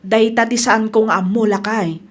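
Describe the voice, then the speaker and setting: High-pitched, inquisitive Ilocano village wife, acted by a woman. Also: steady tone, moderate pace, natural voice. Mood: curious. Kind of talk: Ilocano radio comedy drama acting.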